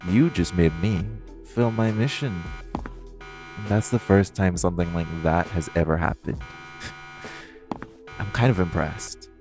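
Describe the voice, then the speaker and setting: A man's voice: low-pitched, unhurried, long pauses, over music. A man playing a flirty, cocky supervillain thief in an audio roleplay, teasing the listener with mock politeness. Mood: teasing menace. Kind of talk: theatrical audio roleplay, one-sided dialogue to the listener.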